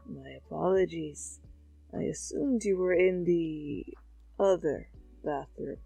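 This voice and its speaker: A woman voicing a calm, sly older man who speaks slowly and softly. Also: sits low, creaky, husky, no room sound, faint music behind, pitched below the performer's own voice, exaggerated voice. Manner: slow, soft, unhurried.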